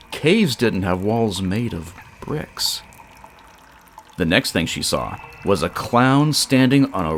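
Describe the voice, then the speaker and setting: Male story narrator: unhurried, theatrical delivery with dramatic pauses and lively melodic swings. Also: deep voice, vocal fry. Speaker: storyteller and show creator.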